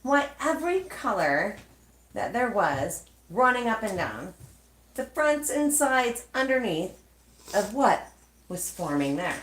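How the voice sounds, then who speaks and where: A woman reading a children's storybook aloud expressively, with measured, dramatic phrasing and pauses. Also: a little high, a bit bright, slight background noise. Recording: echoey, roomy sound.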